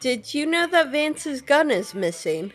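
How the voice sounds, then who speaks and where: A woman's voice: high voice, very animated, unhurried, faint music behind. A woman playing a video game, reacting casually and informally in character. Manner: informal, sweary. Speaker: game player.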